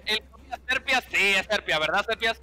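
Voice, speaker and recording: Male Argentine co-host, high and lively, crude teasing banter. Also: moderate pace, soft background music. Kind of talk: casual group banter.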